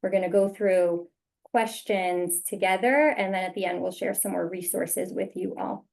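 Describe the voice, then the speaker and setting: Woman running a zoom panel, middle pitch, welcoming, a few restarts. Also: normal speed, gravelly, a little mellow. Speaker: panel moderator. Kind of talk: hosting a virtual panel. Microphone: video call.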